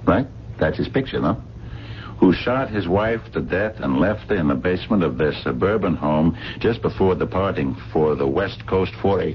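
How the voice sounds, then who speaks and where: A gruff amateur sleuth in an old radio mystery. The voice is low but moves around a lot, dry and terse with some hesitation. It has the dull sound of a vintage broadcast. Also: male voice, a little hiss or hum. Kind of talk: 1970s radio drama acting.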